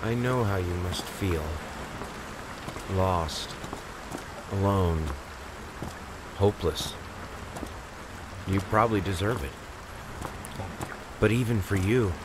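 A brooding horror-game narrator who speaks very slowly in a lowish voice. His words come in grave, foreboding fragments. Steady rain hisses clearly behind him. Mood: ominous.

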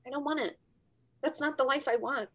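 An actress playing a defiant grown daughter, emotional and argumentative, in a staged reading over video call. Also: female voice, high-pitched, brisk, a bit bright. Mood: hurt, subdued. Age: young adult. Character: defiant grown daughter, young ballerina standing up to mother. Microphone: video call at desk distance.

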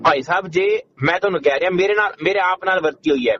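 Male phone debater, high-pitched and quick, insistent and interrupting, on a slightly noisy line. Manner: insistent, interrupting, repeats phrases. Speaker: business owner debating by phone. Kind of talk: argumentative phone conversation. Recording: muffled noisy phone call recording.

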